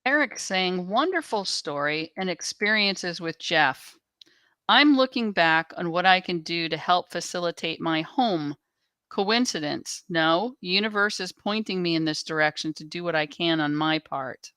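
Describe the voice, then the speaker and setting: A woman's voice: lowish voice, animated, a little slow, pushed and bright, smooth, no echo. A woman assisting on a show, reading viewers' messages aloud.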